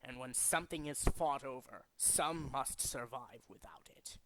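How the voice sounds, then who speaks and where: A man voicing a grandiose, zealous villain leader in a video game, speaking in a deliberate, pompous, theatrical way. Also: medium pitch, a bit bright, natural voice, poor audio. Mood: grandiose, grim. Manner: deliberate, dramatic, pompous declaiming. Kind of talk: theatrical video game character demo reel.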